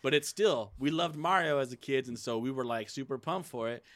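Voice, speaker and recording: A man's voice: mid-range voice, fairly quick, fairly bright tone, dry recording. A man co-hosting a podcast in casual, slangy chat, often repeating his phrases for emphasis. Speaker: podcast co-host. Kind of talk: casual chat about video game movies.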